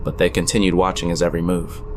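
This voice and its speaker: A man playing a wry gamer friend who narrates an eerie audio drama in a measured, suspenseful way. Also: deep voice, completely flat delivery, over music, natural voice. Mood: ominous, measured. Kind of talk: dramatic first-person storytelling.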